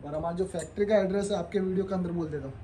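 A young man pitching his clothing collection to viewers in a showroom, talking persuasively while showing garments. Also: racing, mellow, a little hiss or hum. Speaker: clothing wholesaler.

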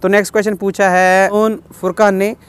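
A young man with a fairly high voice and a Kashmiri accent hosts a casual question-and-answer vlog. He reads each question aloud and replies in a chatty way, dropping in bits of English. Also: flat delivery, fairly quick, clear, resonant tone, dry recording. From Kashmir, India. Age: 20s. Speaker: vlogger answering viewer questions. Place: outdoors on a rooftop.

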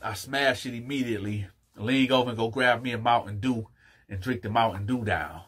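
A young man telling a story to camera, animated and emphatic with crude humor, addressing his viewers directly on a lapel mic in a small room. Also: medium pitch, brisk, husky. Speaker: YouTube storyteller. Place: small indoor room in front of a fabric backdrop.

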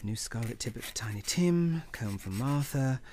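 A man's voice: medium pitch, lively intonation, moderate pace, darkish tone, close to an everyday voice. A man plays a put-upon, kindly clerk in a radio play. He speaks meekly and hesitantly, always deferential, with a little noise in the recording. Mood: timid, obliging. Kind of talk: old-time radio drama acting.

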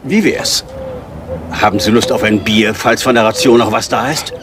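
An actor playing an affable, hearty English country doctor in a radio play. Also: male voice, fairly low pitch, normal speed, background noise, close to an everyday voice. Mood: jovial.

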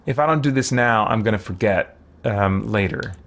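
Creaky-voiced man musing through gameplay. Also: on the low side, moderate pace, fairly bright tone. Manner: hesitant, musing, talking to himself. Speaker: game streamer. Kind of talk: casual gameplay commentary, thinking aloud. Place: small indoor room at home with shelves.

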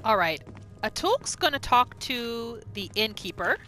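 A woman narrates her video game roleplay in a high, lively voice. She reacts out loud and asks rhetorical questions, with a bright edge to her sound and light game music underneath. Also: measured pace. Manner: exclaims, asks rhetorical questions, speaks for the character. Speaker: gamer narrating a roleplay playthrough. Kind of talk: reactive gameplay commentary.

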